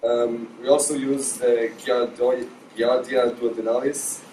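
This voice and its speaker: A man's voice: mid-range voice, flat delivery, unhurried, warm tone. Hesitant young man explaining science. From Israel. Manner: hesitant, many ums. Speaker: student presenter. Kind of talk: presentation. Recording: echoey room, distant mic, noise.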